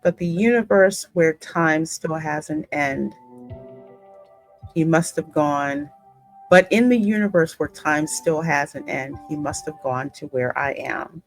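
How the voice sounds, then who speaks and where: A woman's voice: low-pitched, measured pace, soft background music. A woman gaming host reading a story aloud and breaking into casual comments. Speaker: gaming video host and narrator.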